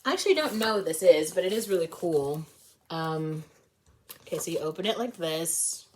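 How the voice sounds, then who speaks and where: A woman reading a storybook aloud as a read-along host, expressive and informal, occasionally correcting herself. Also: fairly low pitch, normal speed, a little hiss or hum. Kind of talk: storybook read-along narration with casual asides.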